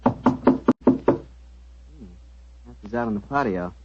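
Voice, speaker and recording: A man with an American accent announcing and pitching for a sponsor in a folksy 1940s radio style. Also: very slow. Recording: muffled noisy 1940s radio recording.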